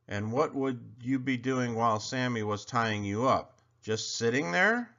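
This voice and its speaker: A man voicing a calm, encouraging father in a storybook, gently amused, asking questions and reassuring. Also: medium pitch, measured pace, dull audio. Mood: amused, curious.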